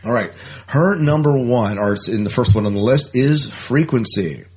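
A man's voice: mid-range voice, animated, normal speed, darkish tone, slight background noise. A man hosting a podcast in a casual, informal, easygoing chat style. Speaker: podcast host.

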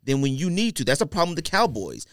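A man's voice: a little high, big pitch swings, fast talker, a bit bright, dry recording, clean studio sound. A man co-hosting a sports podcast, arguing casually and repeating his phrases for emphasis.

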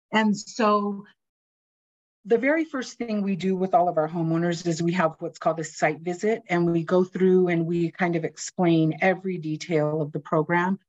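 A woman talks about a home retrofit program in a chatty, informal way, full of fillers. Her voice sits a little low. The audio is dull and lo-fi. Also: darkish tone. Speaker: seismic retrofit program staff. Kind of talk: panel discussion.

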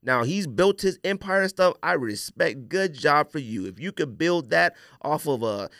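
A male podcast host with a slightly high, very lively voice. He rants bluntly and emphatically, tossing out rhetorical questions as he goes. The recording is dry, with no room sound. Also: normal speed, gravelly, no echo. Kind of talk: opinionated casual sports-and-sneaker podcast rant.

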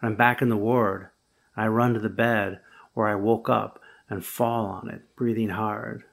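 A man with a low, gravelly voice delivers a sermon. His tone is husky and a little rough. He speaks slowly and thoughtfully, rising to firmer emphasis at the key points. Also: level tone. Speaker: preacher. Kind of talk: sermon with first-person storytelling.

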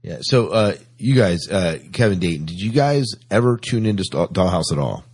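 Low husky guy hosting a podcast, just chatting casually. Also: animated, normal speed, a little mellow. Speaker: podcast host. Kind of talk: casual chat.

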